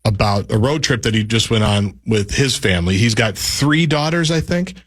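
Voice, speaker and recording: A man's voice: fairly low pitch, expressive, normal speed. A male radio host in casual conversation, asking his guest questions with long, chatty setups.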